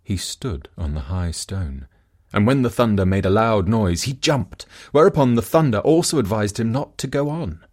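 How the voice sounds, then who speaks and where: A male narrator reads a folk tale from a script. His voice sits fairly low and swings widely in pitch, giving the storytelling a very animated shape. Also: moderate pace. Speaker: audiobook narrator.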